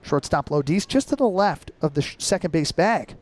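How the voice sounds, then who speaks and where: A man's voice: on the high side, lively intonation, normal speed. A young American man calling baseball live as a radio play-by-play announcer, in clipped, descriptive phrases. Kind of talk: live sports commentary.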